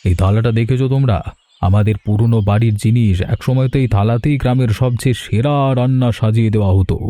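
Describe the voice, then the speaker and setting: An actor voicing a kind, generous older village gentleman in a cartoon, speaking in a gentle, fatherly way. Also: mid-range voice, fairly quick, slight background noise, natural voice. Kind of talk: cartoon voice acting.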